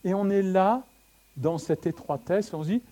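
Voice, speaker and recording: A middle-aged man from France preaching a sermon with slides, conversational and lively, joking with the congregation and swinging between soft and loud passages. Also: sits high, normal speed. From France (Le Havre).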